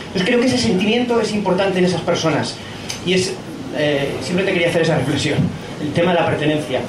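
A man's voice: sits high, fast talker, far from the mic. A man offering an informal reflection from the audience, hesitant, rephrasing and adding tag questions as he goes. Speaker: audience member. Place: pub event room, indoors. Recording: echoey room from afar, clear background noise.

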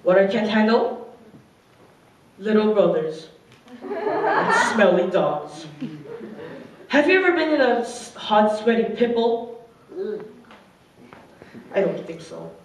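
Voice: medium pitch, very animated, takes it slowly, long pauses. A performer playing a vain, overconfident fashion doll woman, boastful and gushing, in a comic stage monologue. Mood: self-pitying, vain. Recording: echoey room, distant mic, faint noise.

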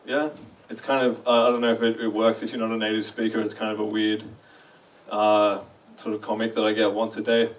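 A man's voice: fairly low pitch, level tone, brisk, distant mic, low-quality recording. A young man with an Australian accent, giving a chatty, self-deprecating conference talk and asking the audience questions. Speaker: conference speaker. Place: large dark lecture hall.

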